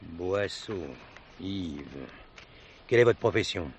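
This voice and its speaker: An actor playing an authoritative, composed gendarmerie captain in a television drama, with a clipped, formal, official manner. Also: male voice, sits low, expressive, slow pace. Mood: stern. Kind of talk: 1970s French television drama acting. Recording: noisy old drama recording.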